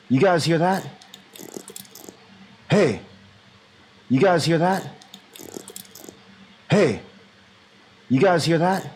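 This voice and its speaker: A man's voice: fairly high pitch, a little mellow, voice pushed up. A man putting on the voice of a startled young man in the woods, calling out in a raised voice.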